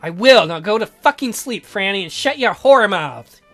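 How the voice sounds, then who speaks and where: A woman's voice: medium pitch, expressive, moderate pace, faint music behind, close to an everyday voice. A woman voicing a reserved, lovestruck young woman in a visual novel, dry and hesitant. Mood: exasperated. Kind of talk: visual novel read aloud in character.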